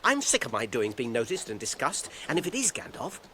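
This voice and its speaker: Bright, very animated English gentleman hobbit. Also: medium pitch, fairly quick, slight background noise. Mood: wary. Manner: animated, expressive. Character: well-spoken gentleman hobbit, wry and brisk. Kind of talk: radio drama acting.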